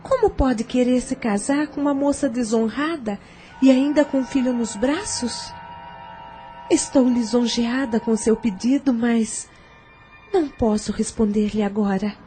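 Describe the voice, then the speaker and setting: Wounded, cautious young single mother played by a woman, high and mellow, hesitant, deferential, audio drama. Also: unhurried, soft background music, lightly acted. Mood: subdued.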